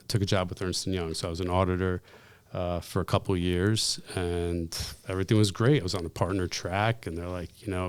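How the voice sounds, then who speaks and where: A man in his forties, a pizzeria owner from the United States, telling rambling, animated stories as a podcast guest. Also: sits low, clean tone. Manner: rambling, animated, self-deprecating. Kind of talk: casual interview storytelling.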